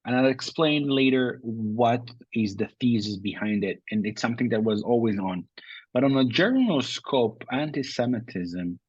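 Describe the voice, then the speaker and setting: Israeli man, husky voice, dry sound. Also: medium pitch, expressive, normal speed, darkish tone, no echo, dull audio. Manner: thoughtful, with frequent restarts and uh fillers. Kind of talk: interview answers.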